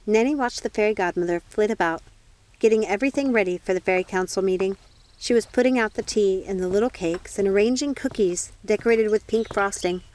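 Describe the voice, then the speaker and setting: A woman reading a story aloud as scripted audiobook narration. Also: mid-range voice. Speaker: audiobook reader.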